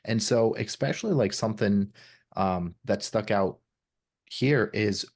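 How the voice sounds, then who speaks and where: A man's voice: lowish voice, unhurried. A man hosting a podcast, speaking reflectively in casual conversation and often checking in with the listener. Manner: reflective, often adds "right?". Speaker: podcast host.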